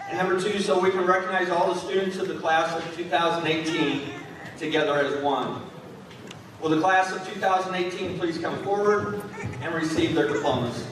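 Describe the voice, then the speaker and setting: A man at a graduation podium reads formal announcements and graduates' names one by one in a measured, deliberate way. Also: a little high, steady tone, noisy background. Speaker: school official reading graduate names. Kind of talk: formal announcement and name reading. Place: large school gymnasium. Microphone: podium microphone, heard from the back of the room. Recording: echoey gym, heard from far off.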